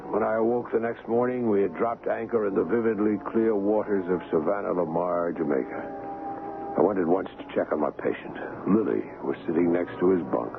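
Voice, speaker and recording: A calm, concerned ship's doctor in a vintage radio drama. He speaks earnestly in a lowish voice with some gravel, over a narrow, noisy broadcast recording. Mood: grave narration. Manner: measured, earnest, questioning. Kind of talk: 1970s radio drama acting and narration. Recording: narrow phone-like old radio broadcast, loud noise.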